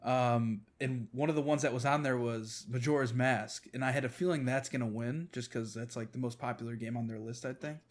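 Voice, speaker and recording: A man's voice: mid-range voice, fairly even intonation, brisk, hardly any pauses. A man co-hosting a podcast, chatting informally in a loose, rambling way. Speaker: podcast co-host. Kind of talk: casual chat about games.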